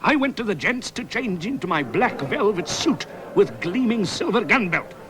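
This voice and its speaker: An extremely high-pitched, swooping voice for a bumbling, boastful hero. The delivery is theatrical and flustered, drawn out slowly in 1970s radio comedy style, with loud music behind it. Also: male voice, very animated. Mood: boastful storytelling. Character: excitable, bumbling, boastful male sports commentator and cowboy hero.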